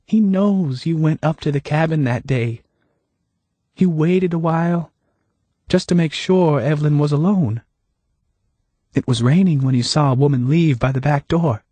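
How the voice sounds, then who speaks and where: A man voicing a woman who speaks in a soft, measured, knowing way, calmly accusing, with a slightly husky voice. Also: fairly high pitch, no echo, voice pushed up. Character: calm, pitying woman, quietly accusing.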